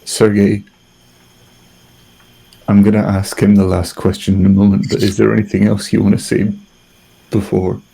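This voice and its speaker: A man's voice: on the low side, monotone, moderate pace, vocal fry, pauses often, dark tone, breathy edge, rough recording. A man acting as game master, guiding players through an improvised tabletop game. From Britain.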